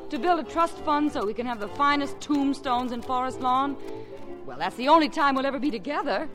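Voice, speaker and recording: Loving wistful film-star wife, American, expressive swooping pitch, high voice, vintage radio drama. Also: female voice, a little fast. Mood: frustrated, wistful. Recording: vintage radio broadcast, faint music.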